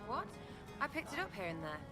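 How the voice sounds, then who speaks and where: A brash, irreverent young elf archer from a video game. She has an English accent and a very lively, swooping delivery, flippant and slangy in short bursts. Music plays clearly behind her. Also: on the high side, fairly quick. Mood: flippant, defensive. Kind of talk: video game character acting.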